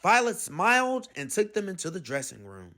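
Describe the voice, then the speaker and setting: A man narrates a children's story in a high, bright voice. The reading is expressive, with animated rises and falls. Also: normal speed. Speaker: storybook reader.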